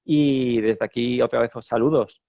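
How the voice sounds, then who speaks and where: A man's voice: mid-range voice, normal speed, vocal fry, dark tone, no echo, muffled recording. A man from Spain hosting an interview, asking long, rambling questions full of fillers and tag questions. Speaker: interviewer host. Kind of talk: interview conversation.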